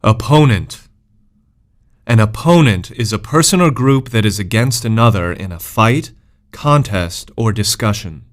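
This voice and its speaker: A man's voice: on the low side, lively intonation, measured pace. A man giving a scripted educational read-aloud of words, definitions and example sentences as a vocabulary narrator.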